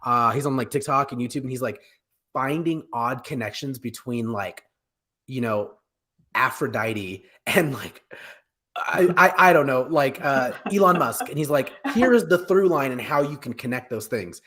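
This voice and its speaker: A man in his forties co-hosting a casual panel chat, joking and animated, often interrupting himself mid-sentence. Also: medium pitch. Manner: jokey, animated, halting with self-interruptions. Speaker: co-host of a pop culture live show. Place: home room, indoors, video call.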